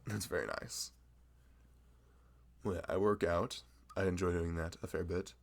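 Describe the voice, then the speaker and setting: A man playing a chatty, gravelly-voiced florist. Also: deep voice, fairly even intonation, measured pace. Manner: rambling, self-deprecating, laughs often. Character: chatty nerdy young male florist, friendly. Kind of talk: ASMR roleplay.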